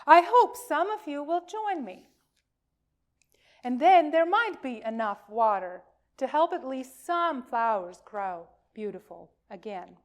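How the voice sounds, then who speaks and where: Woman as a small, stubborn, high-voiced water drop. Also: very animated, slow, full character voice. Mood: determined, hopeful.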